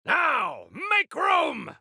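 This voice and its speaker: A zealous exiled rebel for a video game, swinging wildly in pitch with a forceful, piercing edge. He is grandiose and eccentric, drawing out his florid, old-fashioned phrases at an unhurried pace. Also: sing-song, creaky, no room sound, studio quality, exaggerated voice. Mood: rallying. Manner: bombastic, archaic florid phrasing. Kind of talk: theatrical video game character lines.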